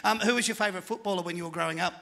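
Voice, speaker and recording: A middle-aged Australian man runs a live panel show in a function room, talking into a handheld mic. His voice sits high and very bright, and he keeps up a chatty, joking banter with the crowd. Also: rapid-fire, gravelly. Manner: chatty, jokey, works the crowd.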